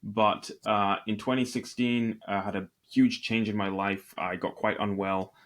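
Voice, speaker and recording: Scottish man, low voice, candid. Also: steady tone, a little slow, fairly bright tone. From Northeast Scotland. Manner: candid, self-deprecating, storytelling. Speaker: podcast guest, e-commerce entrepreneur. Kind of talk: conversational interview answers.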